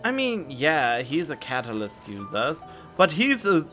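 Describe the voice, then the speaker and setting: Unhurried, animated man commenting on gameplay. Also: fairly high pitch. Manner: informal, musing aloud. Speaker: gaming streamer. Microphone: studio condenser microphone. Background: game music.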